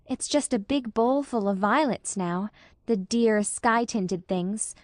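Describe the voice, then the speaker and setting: A woman's voice: medium pitch, slow, no echo, studio quality, lightly acted. A woman voicing a dreamy, romantic young woman who speaks in a gushing, lyrical way. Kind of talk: audiobook character voice.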